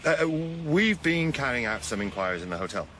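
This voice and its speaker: A dutiful, uneasy detective in a radio play. He is plain-spoken and dogged, with a mid-pitched but lively voice over audible background ambience. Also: a little fast, slight background noise. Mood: guarded, awkward. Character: earnest, conscientious male police detective, dutiful but uneasy. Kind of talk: radio drama acting.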